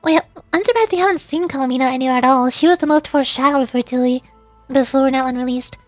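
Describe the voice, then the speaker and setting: Female VTuber, high voice, loose rambling chat with viewers, dry sound over faint music. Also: fast talker, no room sound. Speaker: VTuber game streamer. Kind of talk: casual livestream commentary.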